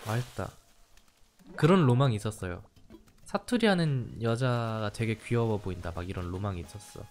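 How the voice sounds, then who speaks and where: A man's voice: on the low side, expressive, moderate pace, a little mellow. Playful young man streaming a game. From standard-Korean-speaking region of Korea. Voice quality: playful, reactive, chatty. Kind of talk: casual game commentary.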